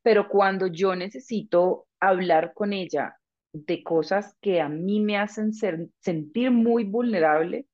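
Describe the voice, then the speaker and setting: A middle-aged woman, a guest, speaking in a thoughtful, frank and measured way during a conversational interview. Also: mid-range voice, darkish tone, muffled recording. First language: Spanish.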